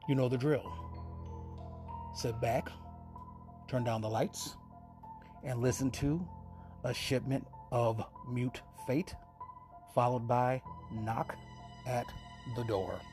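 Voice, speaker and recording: A man's voice: mid-range voice, fairly even intonation, takes it slowly, pauses often. A man hosting a radio play show, giving a conversational introduction. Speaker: podcast host. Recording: muffled voice over prominent music.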